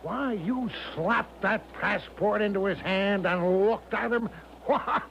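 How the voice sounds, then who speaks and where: A man's voice: high-pitched, slow, vocal fry, a bit bright, full character voice. An actor playing a breezy, eccentric older American con man in a 1970s radio drama, folksy, cajoling and grandly confident. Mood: flattering, jubilant. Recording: dull lo-fi 1970s radio, faint noise.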